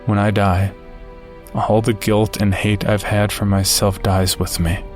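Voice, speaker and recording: A somber male narrator telling a first-person horror tale. His voice is low and quite flat, measured, with faint music underneath.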